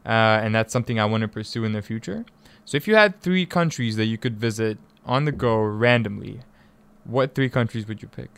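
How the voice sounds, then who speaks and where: A man's voice: lowish voice, fairly quick, vocal fry, clear, resonant tone, dry recording. A man from East Africa hosting a conversational radio interview, effusive and given to repeating himself for emphasis. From East Africa (Tanzania and Kenya). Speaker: radio show host and interviewer. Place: radio studio.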